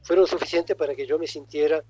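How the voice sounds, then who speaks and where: Husky-voiced older man, softly spoken. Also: on the high side, steady tone, dry recording, faint music behind. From born in Egypt, raised in Italy. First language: Italian. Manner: reflective, pauses and restarts often. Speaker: writer guest. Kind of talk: thoughtful interview answers.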